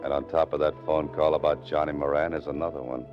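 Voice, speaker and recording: Hardboiled amateur sleuth, very low voice, wry, clipped, world-weary, dull vintage radio recording. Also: male voice, level tone, fast talker, soft background music. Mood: brooding, wry. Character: world-weary wry male writer turned amateur detective. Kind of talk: 1940s radio acting, first-person noir narration.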